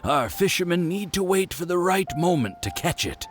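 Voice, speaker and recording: An actor playing a courteous, knowing warehouse manager in a video game, formal and cryptic, with music behind. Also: male voice, sits high, very animated, normal speed. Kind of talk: video game character acting.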